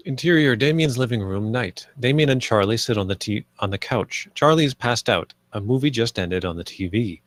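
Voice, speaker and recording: A man's voice: medium pitch, animated, moderate pace, hardly any pauses. A man reading stage directions as scripted narration at a screenplay table read.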